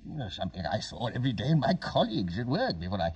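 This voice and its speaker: A man's voice: mid-range voice, huge pitch swings, very fast, darkish tone, breathy edge, exaggerated voice. A man playing a modest, chatty scientist turned author in an interview scene of an audio drama. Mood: modest, amused. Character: mild former genetic engineer turned children's author, interviewed. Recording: dull, distant, lo-fi recording.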